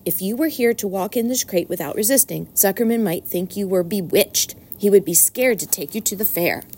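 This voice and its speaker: A woman's voice: medium pitch, fairly quick, dark tone, husky. Storybook old sheep, played by a woman: wily, bossy, urgent, giving orders like a seasoned schemer. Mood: urgent, conspiratorial. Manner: urgent, commanding instructions.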